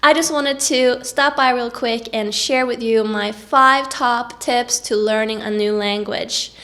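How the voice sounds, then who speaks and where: A woman's voice: a little high, steady tone, a little slow, a bit bright. A woman with a cold, giving encouraging tips to camera in a casual vlog. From Norway. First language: Norwegian. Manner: encouraging, upbeat. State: has a cold. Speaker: vlogger.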